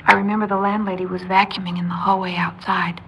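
A woman's voice: mid-range voice, level tone, fast, creaky, fairly bright tone, a little hiss or hum. An actress playing an anxious, devoted young woman in an old crime radio drama, with an American accent and an earnest, pleading, emotional delivery. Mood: haunted, subdued. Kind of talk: mid-century American crime radio drama acting, confessional narration and dialogue.

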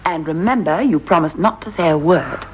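A sensible, dry-witted housewife, waving off superstition with brisk good humor. Her fairly low voice is breathy and lively, and the recording sounds dull and lo-fi. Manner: wry, dismissive, brisk. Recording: dull lo-fi old radio recording.

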